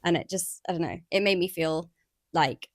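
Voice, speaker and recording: A woman's voice: fairly low pitch, moderate pace, dry recording, clean studio sound. A woman in her thirties co-hosting a podcast, chatting casually and acting out her own doubts in quotes. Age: 30s. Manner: animated, acts out her own inner voice in quotes. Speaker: podcast co-host. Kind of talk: casual chat.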